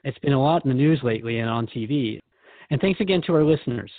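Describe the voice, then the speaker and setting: A man's voice: mid-range voice, expressive, quick pace, dry recording, phone-quality sound. A man hosting a conversational radio talk show, asking questions in an earnest, reflective manner. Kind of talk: conversational interview with a spirit guide.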